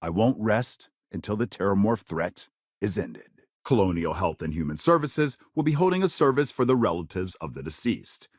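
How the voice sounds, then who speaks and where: An actor playing a grave, polished sci-fi news anchor in a video game, reading scripted bulletins with authoritative, dramatic emphasis. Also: male voice, on the low side, big pitch swings, normal speed, husky, no room sound, studio quality. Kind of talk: scripted broadcast news delivery.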